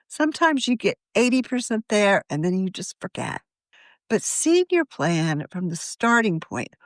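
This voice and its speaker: Lively woman narrator, dry close sound. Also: medium pitch, no room sound. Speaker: podcast narrator reading an article aloud. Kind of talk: scripted narration.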